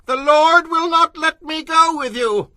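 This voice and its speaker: A man playing an excitable, quick-tempered biblical prophet with an emphatic, declamatory delivery in a dramatized scripture reading. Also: very high voice, no room sound, studio quality, exaggerated voice. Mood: urgent, declaring. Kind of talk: dramatized scripture audio acting.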